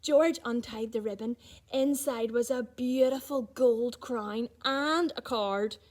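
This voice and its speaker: High-voiced, unhurried woman reading a picture book. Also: darkish tone. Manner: addresses young listeners, points out pictures. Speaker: storyteller. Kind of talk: children's picture-book read-aloud with asides.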